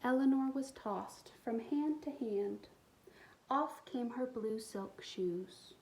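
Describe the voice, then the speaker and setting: Mellow, higher-voiced woman storyteller. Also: slow pace, slight background noise, rough recording. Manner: expressive, explains to young children. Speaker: storytime reader for a school community. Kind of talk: children's picture book read-aloud with asides.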